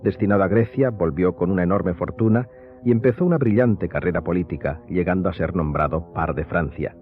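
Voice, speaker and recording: A man narrating a radio serial in a scripted, dramatic and measured storytelling style. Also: low voice, phone-quality sound, faint music behind.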